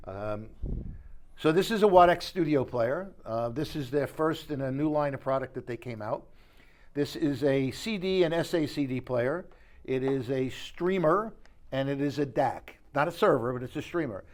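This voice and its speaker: A man's voice: medium pitch, animated, moderate pace. A man working as an audio dealer, explaining gear informally and correcting himself as he goes. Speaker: audio dealer giving a product demonstration. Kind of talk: informal show-room product walkthrough. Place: exhibition suite at an audio show.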